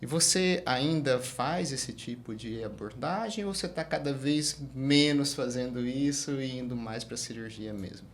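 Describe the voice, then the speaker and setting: A middle-aged man hosting a conversational interview, building long questions in short, measured phrases. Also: mid-range voice, slight background noise. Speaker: interview host.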